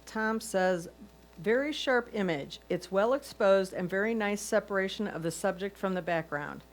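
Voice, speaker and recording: A woman reads out written comments on photographs for a club competition. Her pitch is average for a woman. She speaks as a reader relaying a judge's prepared notes. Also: moderate pace. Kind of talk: reading written photo critiques aloud.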